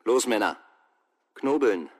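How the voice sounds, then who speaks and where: An actor playing a young, brooding, dutiful American infantry lieutenant in Vietnam in a German radio play. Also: male voice, fairly low pitch, lively intonation, takes it slowly, darkish tone, dry recording, studio quality. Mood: tense, commanding.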